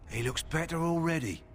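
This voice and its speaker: An actor playing a kindly, plain-spoken kennel master who tends war hounds in a fantasy video game. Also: male voice, a little high, fairly bright tone. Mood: relieved, pleased. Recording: game audio with background noise. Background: game ambience.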